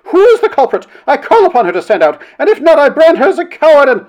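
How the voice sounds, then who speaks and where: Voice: mid-range voice, very animated, fairly quick, darkish tone, voice pushed up, close to an everyday voice. A narrator voicing a self-important, quarrelsome woman in a story, declaiming with haughty, melodramatic indignation. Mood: furious.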